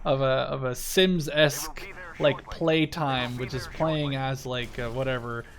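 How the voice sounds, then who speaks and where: A man's voice: mid-range voice, fairly even intonation, a little slow, crowd noise. A man in his twenties giving casual, rambling, enthusiastic gameplay commentary with a lot of swearing. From Canada-based Dutch. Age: 20s. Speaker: gaming video host. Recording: clear background game noise. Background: war strategy game sounds.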